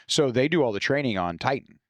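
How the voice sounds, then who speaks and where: A man's voice: mid-range voice, lively intonation, fairly quick, no room sound. An American man talking as a guest author in a casual podcast conversation, explaining things informally with loose, profane language. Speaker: guest author discussing own novels.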